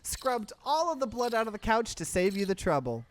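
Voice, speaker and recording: A man's voice: high voice, sing-song, moderate pace, pitched above the performer's own voice. A man voicing a stern, callous woman from a horror game, curt and scolding. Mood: irritated, curt. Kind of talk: dramatic reading of game dialogue.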